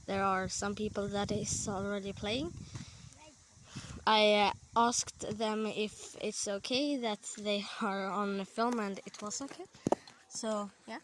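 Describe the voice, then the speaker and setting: Slow, hesitant Swedish woman vlogging outdoors. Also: mid-range voice, fairly even intonation, fairly bright tone, a little hiss or hum. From Sweden. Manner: hesitant, many fillers, chatty asides. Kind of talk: casual walking vlog. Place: outdoor campsite among trees.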